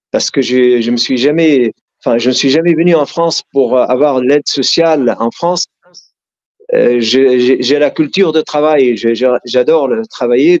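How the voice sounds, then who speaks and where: A middle-aged man from Syria, speaking French with an Arabic accent in an earnest, storytelling way. His voice is mid-pitched, and he often hesitates and restarts mid-sentence. The recording is slightly dull and lo-fi. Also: darkish tone. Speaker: interviewee. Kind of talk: casual storytelling interview.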